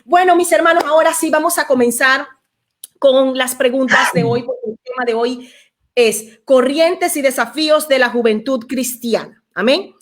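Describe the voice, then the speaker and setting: Venezuelan-born woman hosting a church show, pretty high voice, lots of amens. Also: normal speed. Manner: warm, frequent amens, preacherly. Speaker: program host.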